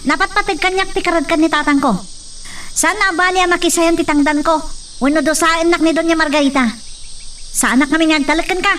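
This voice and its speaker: Woman acting a high-voiced worried young daughter. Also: steady tone, brisk, fairly bright tone, far from the mic, a little hiss or hum. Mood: pleading. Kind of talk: radio drama acting.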